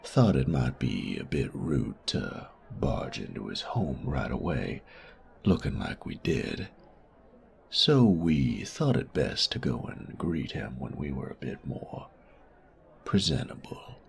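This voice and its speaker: A sadistic male bandit, acted by a man for an audio drama, with an extremely deep, gravelly voice. He is crude and mocking, taking his time over each taunt and sliding into breathy murmurs. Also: sing-song, slow, exaggerated voice. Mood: sardonic, casual. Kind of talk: audio roleplay drama acting.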